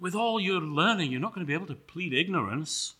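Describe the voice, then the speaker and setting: A man's voice: high voice, animated, fairly quick, bright, forceful tone, slightly rough, voice pushed up, exaggerated voice. A man voicing an eager, flustered angel who pleads like a starstruck defense counsel in a sermon sketch. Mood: grave, pressing.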